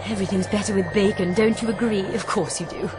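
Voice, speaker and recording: A woman's voice: medium pitch, steady tone, quick pace, over music, natural voice. A woman playing a vain, bossy, gluttonous grande dame in a video game, pompous and theatrical in delivery. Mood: imperious, teasing. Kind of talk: video game character acting.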